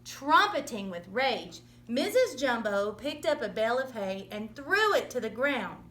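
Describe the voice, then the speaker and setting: A woman reading a children's story aloud in an expressive, dramatic storytelling manner. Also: fairly high pitch, measured pace. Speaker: storybook reader.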